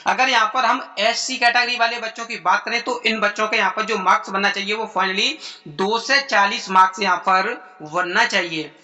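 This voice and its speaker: Guy doing exam prep explainer for students, really sharp high voice, keeps reusing the same phrasing. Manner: repetitive, formulaic phrasing. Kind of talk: informal explainer addressed to students.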